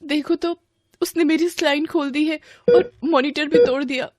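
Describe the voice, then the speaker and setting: A woman's voice: high voice, steady tone, moderate pace, a little mellow, pitched above the performer's own voice, lightly acted. A woman playing a terrified, bewildered mother in a hospital horror story, stammering and repeating her words. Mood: panicked, pleading. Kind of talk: Hindi horror story acting.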